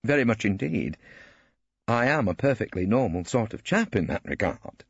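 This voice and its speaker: A man's voice: on the low side, sing-song, normal speed, creaky, slightly rough, no echo, lo-fi sound, exaggerated voice. A man voicing an awkward, self-important male barrister, fussy and bumbling. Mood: earnest. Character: awkward, pompous middle-aged male barrister.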